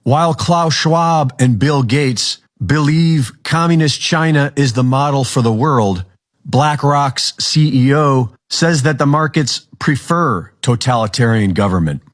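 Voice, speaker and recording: A man narrating a scripted news report in a measured, serious way. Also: mid-range voice.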